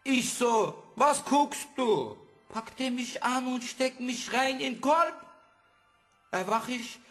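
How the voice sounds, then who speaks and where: A tough, brash boy played by an actor in an audio drama, talking blunt street slang. The voice is high and very animated, with an unhurried pace. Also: faint music behind, exaggerated voice. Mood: brash, chummy. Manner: blunt urban slang, clipped grammar.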